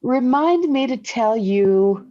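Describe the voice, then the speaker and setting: A dull vintage radio recording of a devoted housewife, middle-aged and patient. She speaks unhurriedly, with a lilting, expressive pitch. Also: slow pace. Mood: fond, patient. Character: patient, affectionate middle-aged wife and mother, loyal when provoked. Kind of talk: 1940s radio sitcom acting.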